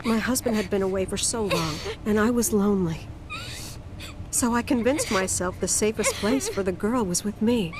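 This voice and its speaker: An actress playing a kindly, wistful middle-aged widowed mother, telling her story softly in a game cutscene. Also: medium pitch, lively intonation, normal speed, background noise, lightly acted. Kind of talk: dramatic game cutscene narration.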